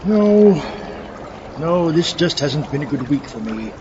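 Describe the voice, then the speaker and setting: A man's voice: fairly high pitch, big pitch swings, unhurried, noisy background. Guy doing grumpy mad scientist, fussy and grandiose, super swoopy, muffled w music behind. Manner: theatrical, fussy, indignant. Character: exasperated grandiose mad scientist, would-be world dictator, male. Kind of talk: comedic audio drama acting.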